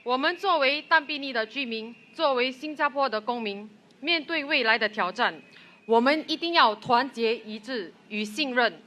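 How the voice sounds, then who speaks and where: High, bright woman at a rally. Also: normal speed. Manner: earnest, rousing. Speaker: rally speaker. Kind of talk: political rally speech. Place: outdoor rally with loudspeakers.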